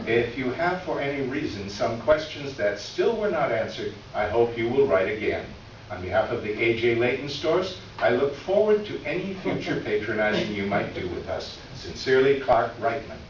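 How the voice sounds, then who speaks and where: A man playing a condescending, officious department store official, reading a letter aloud on stage in a formal, sarcastic manner with deliberate emphasis. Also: mid-range voice, lively intonation, lightly acted. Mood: smugly polite, sarcastic. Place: live reading in an echoey room. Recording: distant echoey room, muffled, faint noise.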